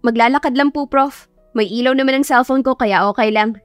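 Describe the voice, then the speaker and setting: A woman's voice: high-pitched, a little fast, no echo, soft background music, voice pushed up, close to an everyday voice. A woman voicing a timid, easily frightened young female student in an audio love story, with a nervous, stammering delivery. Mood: shy, polite.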